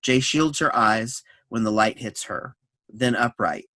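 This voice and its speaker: A man's voice: mid-range voice, measured pace, sharp tone, dry recording. A man reading scripted stage directions as narration for a staged reading of a play.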